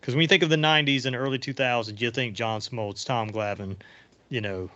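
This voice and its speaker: A man's voice: mid-range voice, fairly quick, pushed and bright. A middle-aged man hosting a casual sports chat podcast, talking in a rambling way that trails off and rephrases. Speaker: podcast host. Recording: dull lo-fi audio.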